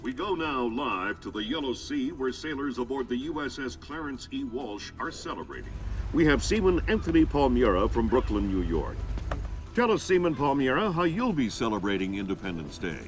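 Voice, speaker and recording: An actor playing an earnest American television news anchor in a video game, formal and presentational. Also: male voice, medium pitch, big pitch swings, normal speed, soft background music, natural voice. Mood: upbeat, formal. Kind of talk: broadcast news delivery in a video game cutscene.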